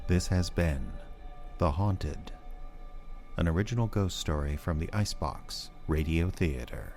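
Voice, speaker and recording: A man's voice: sits low, a little slow, darkish tone. A man reading scripted closing credits as the announcer of an audio drama. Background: music.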